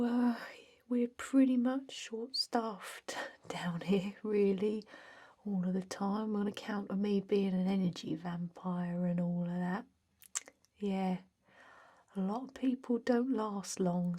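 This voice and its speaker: A woman playing a chatty energy vampire office clerk in an ASMR roleplay, speaking softly and often whispering, with a hesitant, rambling, drily jokey manner. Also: mid-range voice. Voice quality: soft, breathy, often whispered. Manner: hesitant, rambling, deadpan workplace small talk.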